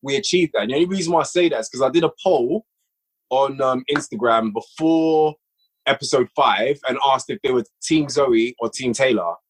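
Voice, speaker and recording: A man's voice: mid-range voice, normal speed, slight background noise, low-quality recording. A man, a guest writer and producer of audio drama, chatting at length on a podcast and explaining his work with frequent restarts. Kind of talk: casual podcast conversation.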